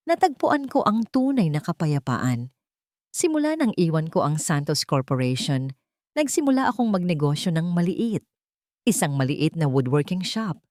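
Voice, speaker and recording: A woman's voice: on the low side, darkish tone, no echo, studio quality. A woman narrating a scripted first-person romance story in an earnest, sentimental, dramatic way.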